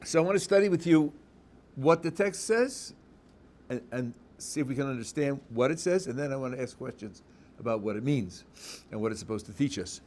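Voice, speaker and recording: New rabbi explaining scripture. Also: male voice, mid-range voice, expressive, a little fast, darkish tone, slight background noise. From New England. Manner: reads verses aloud, then explains them plainly. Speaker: rabbi teaching a student. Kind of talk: one-on-one text study.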